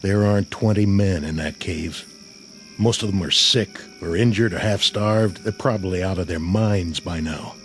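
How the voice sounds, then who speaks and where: Man acting a weary, low-voiced army sergeant. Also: normal speed, slight background noise, lightly acted. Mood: weary, guarded. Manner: dry, sarcastic, folksy. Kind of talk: radio drama acting. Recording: radio drama with faint music underneath.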